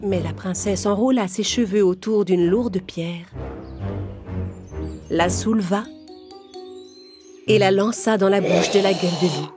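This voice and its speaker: A woman's voice: mid-range voice, a little slow, long pauses. A woman storyteller reading a scripted children's fairy tale. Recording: music behind the voice.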